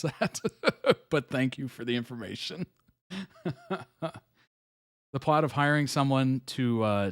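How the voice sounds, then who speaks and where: A man's voice: mid-range voice, dry recording. Amused man reading captions, dry sound. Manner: reads on-screen captions aloud, then reacts with amused asides; laughs often between remarks. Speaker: reaction video host. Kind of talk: casual live reaction commentary.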